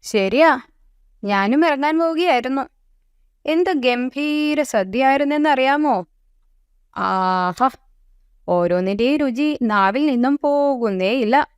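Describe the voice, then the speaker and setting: Woman as a grumpy, high-voiced storybook owl. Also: expressive, takes it slowly, pauses often, smooth, no echo, clean studio sound. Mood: relishing. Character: grumpy, impatient owl friend.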